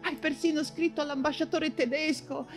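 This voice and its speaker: An actress playing an anguished, ailing woman writer imprisoned in a camp, in a halting, fevered theatrical monologue. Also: sits high, fairly even intonation, soft background music. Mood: anguished, tender. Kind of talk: dramatic stage monologue in Italian theatre.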